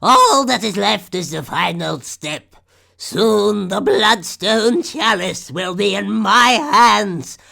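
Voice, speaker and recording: Man voicing a croaking, scheming old witch hag. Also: sits high, expressive, voice pushed up, heavily acted. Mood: gloating. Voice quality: creaky, sharp. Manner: slow, grandiose, scheming. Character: gloating old witch hag, power-hungry, spidery crone. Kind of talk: audiobook character voice.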